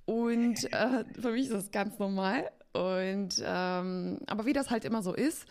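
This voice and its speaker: Candid woman with a gravelly voice. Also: mid-range voice, a little slow, a little mellow. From Poland and eastern Germany. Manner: chatty, candid, laughs often, many fillers. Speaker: podcast guest, agency co-founder. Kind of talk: casual podcast conversation.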